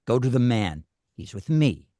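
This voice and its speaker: A man's voice: mid-range voice, sing-song, moderate pace, dark tone, no room sound, clean studio sound, lightly acted. A man playing a cruel, volatile kidnapper in a script read, curt and commanding. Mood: threatening. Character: menacing male kidnapper, volatile and cruel, on the phone. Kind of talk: dramatic script table read.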